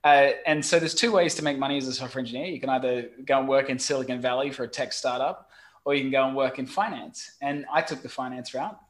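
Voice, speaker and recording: A middle-aged Australian man, a guest on a podcast call, talking frankly and with lively storytelling, salting it with mild swearing. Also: mid-range voice, fast. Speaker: guest, website marketing expert. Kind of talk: casual podcast chat. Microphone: remote call.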